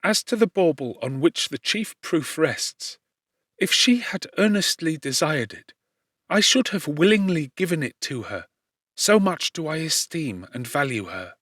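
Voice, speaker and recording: A man voicing a brave young gentlewoman in an audiobook, speaking formally and firmly in a friend's defence. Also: a little high, very animated, unhurried, no echo, studio quality, exaggerated voice. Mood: firm conviction. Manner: formal, earnest, firm. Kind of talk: audiobook character reading.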